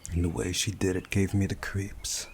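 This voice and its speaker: A man reading a visual novel aloud as a playthrough host, in a measured, deliberate way with pauses. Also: low-pitched, level tone, gravelly, a little hiss or hum. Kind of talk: scripted narration of a visual novel with commentary.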